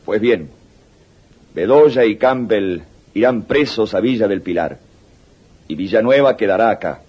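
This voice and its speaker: A very deep, imperious dictator. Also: male voice, expressive, a little mellow, husky. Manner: imperious, deliberate. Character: commanding, suspicious supreme dictator of Paraguay, scornful. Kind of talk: 1980s radio drama acting. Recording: muffled old radio broadcast tape.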